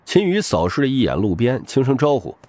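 A man's voice: medium pitch, big pitch swings, a little fast, darkish tone, no echo, clean studio sound. A man giving dramatic scripted narration of a crime novel as an audiobook narrator. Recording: music bed behind the voice.

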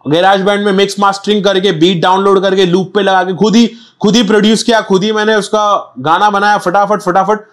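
A young man with a Mumbai Hindi accent, telling stories in a casual interview with lively, repetitive street slang. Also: high voice, a little fast, few pauses. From Mumbai, India. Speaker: rapper guest. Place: small studio set with chairs.

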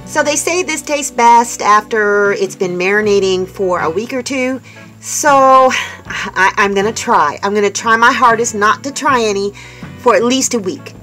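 A woman's voice: fairly high pitch, unhurried, bright, forceful tone, soft background music. A woman making a homestead vlog, chatting casually to her viewers as she shows a cooking step by step. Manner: chatty, upbeat, talks to viewers as folks. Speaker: homestead vlogger. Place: indoors in a small tiny-house kitchen.

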